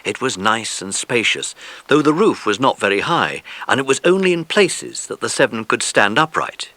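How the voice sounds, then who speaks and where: A man reading scripted narration for a children's audiobook in an expressive storytelling manner. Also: medium pitch, normal speed, no room sound.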